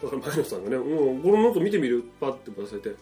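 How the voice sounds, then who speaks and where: Very animated, quick-talking man, higher-pitched voice. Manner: emphatic, mock-earnest, acting out imagined lines. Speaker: comic advice-giver on a radio show. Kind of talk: casual comedic advice chat. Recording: music playing behind the voice. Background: background music.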